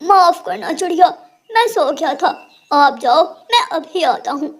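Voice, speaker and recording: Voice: very high-pitched, lively intonation, unhurried. An actor voicing a lazy, grumbling male crow husband in a cartoon. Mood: startled.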